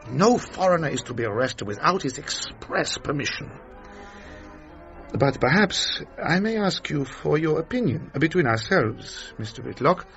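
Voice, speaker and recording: An actor playing a Nazi official, formal and measured, politely insinuating in a radio drama. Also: male voice, mid-range voice, a bit bright. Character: courteous, menacing Nazi official, adult man. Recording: muffled radio drama with music behind.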